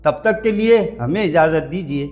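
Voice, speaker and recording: A man's voice: fairly high pitch, lively intonation, normal speed, a little mellow. A man anchoring a news report, reading formally and addressing the viewers directly.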